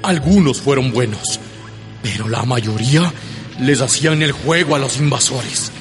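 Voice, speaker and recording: A man playing personified gold, radio drama, stern, mocking, slow, long reverb, loud music. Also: mid-range voice, close to an everyday voice. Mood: scolding. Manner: slow, stern, corrects and scolds. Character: gold speaking from deep in a mountain, stern, mocking.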